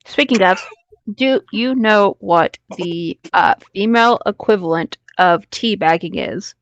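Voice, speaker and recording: A woman's voice: medium pitch, measured pace, dry recording. A woman co-hosting a podcast, tossing in casual jokes with a drawling delivery. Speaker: podcast co-host. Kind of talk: casual joking banter. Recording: dry, dull lo-fi microphone sound.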